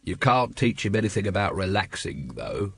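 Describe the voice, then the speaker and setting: Audiobook role: world-weary captain, performed by male narrator, sarcastic, snappish, animated, dry studio sound. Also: fairly low pitch, moderate pace, creaky, slightly rough, no echo, voice pushed down, full character voice. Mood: wary. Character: exasperated, sardonic middle-aged male watch captain.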